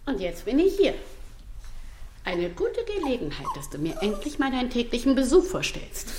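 A woman playing a brisk, bossy but good-hearted hotel employee with a teasing manner, in a German children's radio drama. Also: fairly high pitch, huge pitch swings, roomy sound, background noise. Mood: teasing, stern. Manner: firm, teasing.

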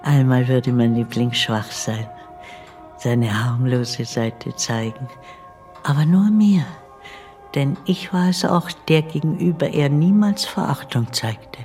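An actress playing the tender ghost of an older nanny and servant woman in a radio play, hushed and deliberate. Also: extremely low pitch, soft background music. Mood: devoted, mournful. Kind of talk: German radio play narration.

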